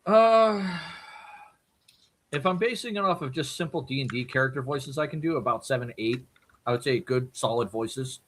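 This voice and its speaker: A man's voice: mid-range voice, moderate pace, fairly bright tone, a little hiss or hum. A man who works as a voice actor, chatting casually as a podcast guest and laughing often. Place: home streaming setup.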